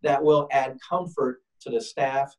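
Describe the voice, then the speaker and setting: A man's voice: mid-range voice, fairly even intonation, unhurried. A man on a video call explaining food safety cleaning and employee illness policies. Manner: practical, reassuring. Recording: distant from the mic.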